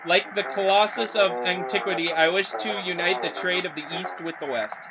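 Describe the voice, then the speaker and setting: A man voicing a solemn former diplomat and canal developer in formal, measured oratory, reading a horror story aloud. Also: high-pitched, very noisy. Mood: solemn, grandiose.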